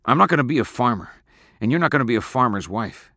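A man's voice: mid-range voice, lively intonation, fast, sharp tone, dry recording, studio quality, voice pushed up. A man voicing a bitter, stubborn teenage boy in an audiobook, petulant and aggrieved. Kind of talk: audiobook character acting.

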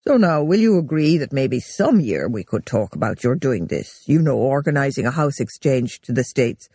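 A woman doing a calm, steady, determined wife. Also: rumbling low, normal speed, breathy edge, no echo, studio quality, pitched below the performer's own voice, heavily acted. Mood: firm.

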